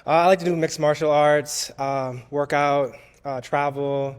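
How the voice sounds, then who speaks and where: A man in his thirties from the Bahamas answers questions on a dating show. His voice is smooth and clear, held in a narrow, even pitch. He moves through his answers steadily, like a list. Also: a little slow. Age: 30s.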